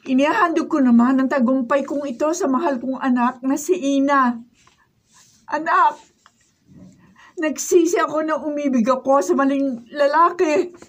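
A woman's voice: high-pitched, normal speed, natural voice. A woman playing a humble, loving single mother in a Filipino radio drama, earnest and emotional. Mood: grateful, moved. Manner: tearful, earnest, emotional delivery. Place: radio drama recording studio. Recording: faint noise, behind-the-scenes studio recording.

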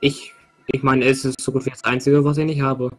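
A man with a husky voice chatting in a laid-back way during a game session. He thinks aloud about his moves at a middle pitch. Faint music runs behind him. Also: little pitch movement, a little fast. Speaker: let's play co-host. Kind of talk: casual gaming chat.

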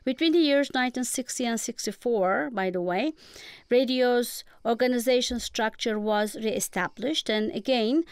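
A woman's voice: on the high side, moderate pace, clean tone, dry recording, studio quality. A woman with a Turkish accent, giving formal, academic, prepared answers as a guest expert. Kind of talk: prepared lecture-like radio interview.

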